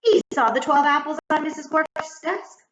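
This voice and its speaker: A woman narrating a children's story aloud in an expressive, dramatic, carefully enunciated way. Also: high-pitched, moderate pace, background noise. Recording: echoey room, slightly muffled sound.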